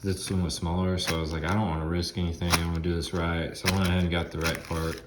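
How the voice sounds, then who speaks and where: A man's voice: sits low, level tone, fast talker, background noise. A man in his thirties, a bit stuffy from a head cold, talking through a truck repair in a casual, folksy how-to style, recorded on a phone in his home garage. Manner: chatty, folksy, loose grammar. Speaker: DIY auto repair host. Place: home garage, by an open door. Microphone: phone camera close by, at arm's length.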